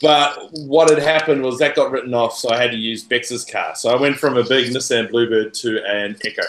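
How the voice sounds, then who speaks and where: A man from New Zealand with a loud voice, chatting as a guest on an online call. He talks casually, full of jokes and self-mocking asides. His voice rings out in a roomy space. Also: mid-range voice, fairly even intonation, fairly quick, slight background noise. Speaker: podcast guest. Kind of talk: casual chat. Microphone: USB mic, online call.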